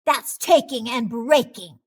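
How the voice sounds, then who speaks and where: A woman's voice: a little high, slow pace. A woman voicing a young boy fish in a storybook, a blunt best friend who gets exasperated. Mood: reproachful.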